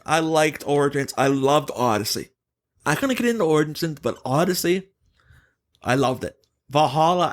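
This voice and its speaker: Animated male podcast host, higher voice. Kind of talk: casual opinionated podcast chat.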